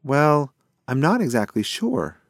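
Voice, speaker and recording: A man's voice: mid-range voice, big pitch swings, unhurried, gravelly, no room sound, studio quality, pitched above the performer's own voice. A man voicing a spirited, bossy young female mouse in a children's storybook, speaking insistently. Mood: unsure.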